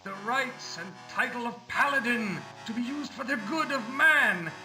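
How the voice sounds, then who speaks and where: A high-pitched, bitter old paladin ghost, as a man voices him in a retro video game. He speaks in solemn, grandiose tones. The voice rings out with echo over plucked guitar music. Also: lively intonation, normal speed. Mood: brooding.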